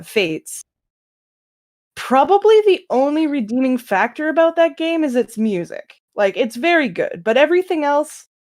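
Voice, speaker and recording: A woman's voice: on the high side, darkish tone. A woman talking casually on a group podcast, giving her opinions in an emphatic way. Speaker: podcast panel member, fan dub organiser.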